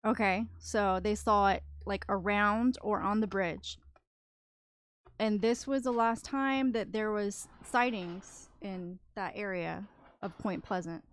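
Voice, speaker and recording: A woman's voice: mid-range voice, fairly even intonation, slow, clean tone, dry recording, clean studio sound. A woman co-hosting a podcast, earnestly recounting stories in a casual chat. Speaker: podcast co-host.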